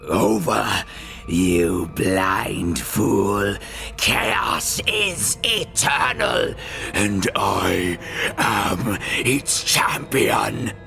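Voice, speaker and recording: An actor playing a fanatical human champion of Chaos in a fantasy video game, ranting theatrically with a harsh, gravelly voice. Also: male voice, fairly low pitch, expressive, bright, forceful tone, soft background music, heavily acted. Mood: defiant, raging. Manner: bombastic, ranting, drawn-out theatrical delivery. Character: fanatical male human champion of Chaos and plague-god worshipper.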